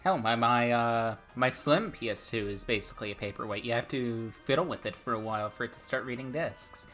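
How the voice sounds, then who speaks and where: A man with a Portuguese accent co-hosting a casual podcast, relaxed and chatty, often repeating words. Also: mid-range voice, fairly quick, faint music behind. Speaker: podcast co-host. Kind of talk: casual chat.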